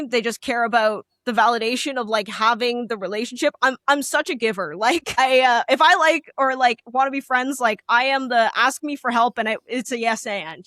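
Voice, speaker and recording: A young woman from Canada with a high, loud, piercing voice talks candidly, rambling through plenty of fillers. Her voice sits close and dry, with no room around it. She is a musician who is a guest in a relaxed interview. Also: no echo. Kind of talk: casual interview chat.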